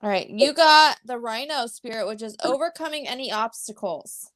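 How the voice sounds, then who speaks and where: A woman from Pennsylvania giving a calm, instructive card reading as a co-host. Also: a little high, normal speed. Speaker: psychic reader. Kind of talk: live spiritual reading.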